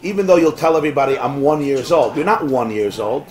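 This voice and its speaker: A man, a rabbi, teaching a Talmud class to students in a lively, rhetorical way, tossing in Hebrew and Yiddish terms. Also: medium pitch, fast talker. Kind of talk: lively Talmud class lecture with back-and-forth.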